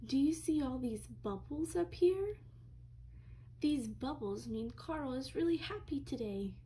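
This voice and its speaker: A woman teacher reading a storybook aloud to young children in an expressive way, speaking to them directly. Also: high-pitched, unhurried, slight background noise, low-quality recording.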